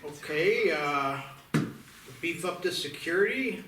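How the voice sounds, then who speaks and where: Man reading a higher-pitched, skeptical small-town sheriff. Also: animated, takes it slowly. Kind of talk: screenplay table read. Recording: noisy, echoey room, distant mic.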